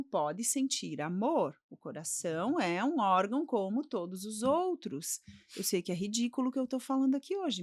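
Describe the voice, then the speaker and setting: A woman's voice: medium pitch, a little mellow, no room sound, studio quality. A middle-aged woman from Brazil, a podcast guest telling her personal story in a reflective way, often checking in with "né?".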